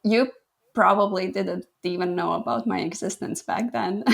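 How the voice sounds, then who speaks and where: A woman's voice: medium pitch, a little slow, vocal fry. A woman in her twenties from Latvia, a guest photographer telling her story in a modest, laughing way. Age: 20s. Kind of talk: casual interview chat.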